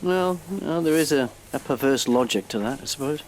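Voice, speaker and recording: An actor playing a reserved, politely weary younger holidaymaker, dry and hesitant, in an audio comedy. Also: male voice, a little high, lively intonation, normal speed, close to an everyday voice, low-quality recording. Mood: awkward, flustered. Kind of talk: audio drama comedy acting. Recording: clear background vehicle noise.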